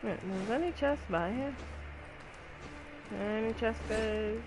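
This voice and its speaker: A woman's voice: a little high, slow. Exclamatory woman streamer, slightly noisy audio. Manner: exclamatory, slangy, reacting aloud. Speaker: gaming streamer. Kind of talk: casual game livestream commentary.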